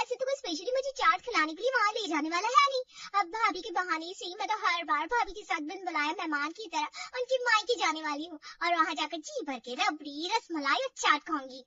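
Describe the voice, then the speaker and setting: A woman voicing an excitable, gushing, eager young sister-in-law in a family drama story. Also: very high-pitched, no echo, far from the mic, muffled recording, voice pushed up, exaggerated voice. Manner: gushing, exclamatory.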